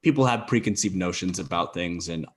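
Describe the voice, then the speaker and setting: A man's voice: deep voice, brisk. A man talking as a podcast guest in a thoughtful way, with lots of "you know" fillers. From United States. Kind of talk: casual conversation.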